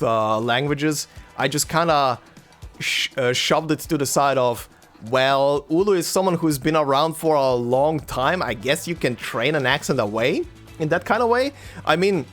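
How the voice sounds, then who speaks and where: Halting Croatian man, mid-Atlantic accent. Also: fairly high pitch, lively intonation, fairly bright tone, faint music behind. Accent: mix of American and British English, slight German tinge. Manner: halting, many fillers and repeated words. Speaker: let's play host. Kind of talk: casual chat to viewers.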